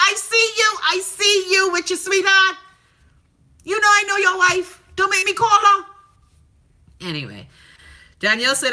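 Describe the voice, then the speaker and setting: A Bahamian woman hosting a livestream, chatting casually and sassily while reading out viewer comments, with plenty of profanity. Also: sits high. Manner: sassy, profane, animated storytelling. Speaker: livestream host.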